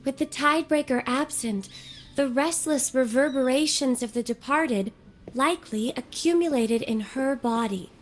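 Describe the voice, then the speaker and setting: A woman voicing a gentle, earnest young female scholar in a video game, speaking in a measured, articulate, softly formal way. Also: sits high, faint music behind, lightly acted. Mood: earnest, explanatory. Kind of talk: video game character dialogue.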